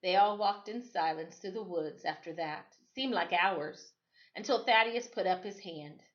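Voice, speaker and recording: A woman reading a children's story aloud as expressive storytime narration. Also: lowish voice, moderate pace, muffled recording. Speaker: storytime reader.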